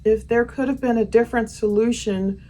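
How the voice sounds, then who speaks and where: A woman's voice: a little high, fairly even intonation, normal speed, warm tone. A woman hosting a true crime commentary, talking casually and conversationally with opinionated asides.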